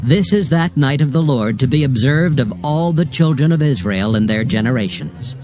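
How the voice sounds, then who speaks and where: A man's voice: mid-range voice, lively intonation, moderate pace. Solemn male scripture narrator over music. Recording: music bed under narration.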